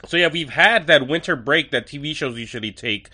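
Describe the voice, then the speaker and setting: A male podcast host running through an episode in a chatty, enthusiastic way with wry asides. His voice is a bit higher than most men's and bright and forceful. He sounds a little stuffy and nasal from a cold. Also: brisk. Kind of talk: casual episode review.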